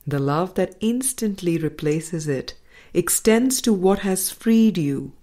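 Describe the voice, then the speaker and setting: A woman reading a spiritual book aloud in a measured, deliberate manner, pausing between phrases. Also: fairly low pitch, lively intonation, mellow. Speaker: audiobook reader.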